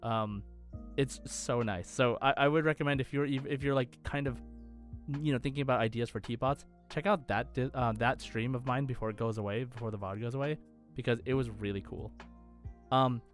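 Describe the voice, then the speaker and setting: A man's voice: medium pitch, steady tone, fairly quick. Man, mid-range voice, casual gaming chat, enthusiastic and wordy, music underneath. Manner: rambling, enthusiastic. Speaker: podcast co-host and game streamer.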